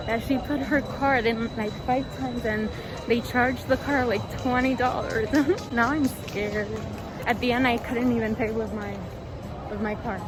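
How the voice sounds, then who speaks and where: A woman's voice: on the high side, rough recording. A young Dominican woman with a Dominican Spanish accent and Spanish as her first language, vlogging casually on city streets and laughing often. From Dominican Republic. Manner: chatty, laughs often, switches between English and Spanish. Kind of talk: casual chat to camera. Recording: loud music over the voice.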